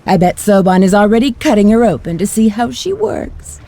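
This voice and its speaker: Mellow, swooping, sadistic mercenary villainess played by an actress. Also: female voice, mid-range voice, expressive, close to an everyday voice. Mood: cruel delight. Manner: taunting, theatrical, drawn-out gloating. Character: sadistic, flirtatious female mercenary villain, gloating and cruel. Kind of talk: video game voice acting.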